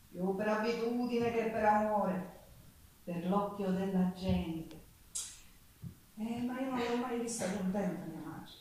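A woman's voice: medium pitch, a bit bright, slight background noise. An actress playing a Sicilian working-class woman who recalls a hard, violent childhood in a theatrical stage monologue with a Sicilian accent. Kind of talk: theatrical monologue with folk singing. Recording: echoey stage, distant microphone. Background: live acoustic guitar.

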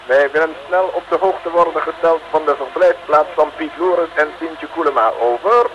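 An officious, high-voiced radio dispatcher. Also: male voice, normal speed, a crowd in the background. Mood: urgent, official. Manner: clipped, formal radio procedure. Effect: two-way radio. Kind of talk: 1950s-60s Dutch radio serial acting. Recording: muffled, faintly noisy radio transmission.

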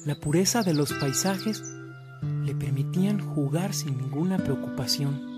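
A man's voice: on the high side, a little slow. A man narrating a radio drama from a script, as a storyteller. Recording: background music under the voice.

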